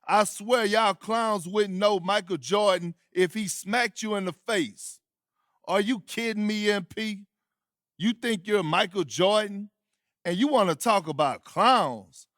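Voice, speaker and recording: An actor playing a scornful, theatrical American sports pundit in a video game, mocking and emphatic. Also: male voice, high-pitched, measured pace, fairly bright tone, no room sound, studio quality. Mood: mocking, outraged. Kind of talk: opinionated sports commentary.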